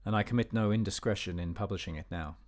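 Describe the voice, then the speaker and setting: An actor plays an older, educated gentleman narrating a period radio drama in a low voice. He is measured and formal, with a dignified, censorious air. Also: natural voice. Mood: measured, reflective. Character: disapproving, educated older man narrating a memoir.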